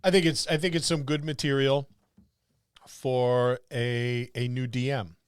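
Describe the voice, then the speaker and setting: A male podcast co-host talks casually about tabletop gaming in a smooth, clear voice of average pitch. He thinks aloud and repeats words for emphasis, recorded close and dry. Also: level tone, a little slow, no echo. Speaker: podcast co-host and experienced game master.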